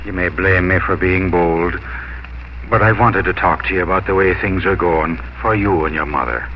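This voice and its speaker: Bashful, plain-spoken suitor farmer, low voice, formal and halting, muffled vintage radio recording with light noise. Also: male voice. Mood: concerned, earnest. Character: earnest, bashful farmer courting a young woman. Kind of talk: 1950s radio drama acting.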